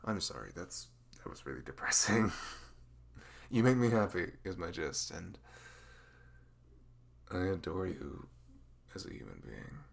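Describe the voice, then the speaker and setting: A man playing a dorky, smitten young best friend in an intimate ASMR roleplay, speaking in a halting, awkward, rambling way. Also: low voice, very animated, gravelly, exaggerated voice. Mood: tender, vulnerable.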